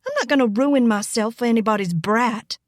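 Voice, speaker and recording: A woman's voice: a little high, brisk, no room sound, clean studio sound, natural voice. A woman voicing a spiteful, scheming young Southern belle in an audiobook, with emphatic, sneering delivery. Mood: scornful, insistent. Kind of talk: audiobook character acting.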